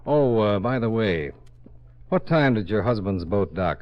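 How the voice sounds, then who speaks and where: An actor playing a hardboiled, dry-witted insurance investigator in a radio drama, clipped and deadpan. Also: male voice, on the low side, measured pace. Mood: dry, businesslike. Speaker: lead detective and narrator. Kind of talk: 1950s hardboiled radio detective acting. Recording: dull old radio recording.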